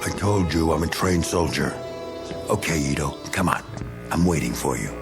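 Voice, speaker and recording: An actor playing a bitter, bigoted, self-pitying middle-aged American war veteran in a radio drama, with blustering, needling, slangy tough talk. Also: male voice, very deep voice, animated, normal speed, music in the background. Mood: desperate, hostile. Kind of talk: dramatic radio play acting.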